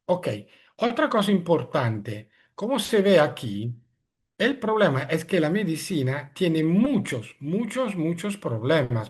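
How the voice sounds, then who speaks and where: Middle-aged guy, giving a talk on a video call, kinda high voice, stops to find words a lot. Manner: hesitant, searching for words in a second language. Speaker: guest speaker. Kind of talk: webinar lecture.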